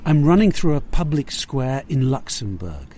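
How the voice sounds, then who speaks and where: A man's voice: mid-range voice, sing-song, moderate pace, mellow, slight background noise. A man narrating a scripted investigative news report as a correspondent.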